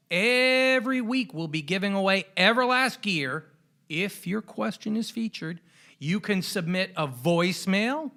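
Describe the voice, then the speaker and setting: Male sports podcast host, raised pitch with gravelly fry, colloquial and punchy, given to showy flourishes. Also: pushed and bright. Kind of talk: boxing podcast commentary.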